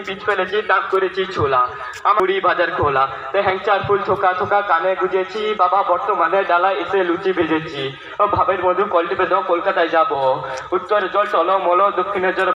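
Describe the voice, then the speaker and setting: Dull, echoey recording of high-voiced male rhymer. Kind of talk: reciting comic folk rhymes.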